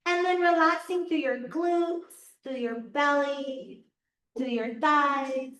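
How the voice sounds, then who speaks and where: A woman yoga teacher guiding a class through slow, soothing cues, her voice a little raspy from losing it. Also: sits high, steady tone, fairly bright tone. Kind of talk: guided yoga class instruction. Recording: echoey room, voice from far off.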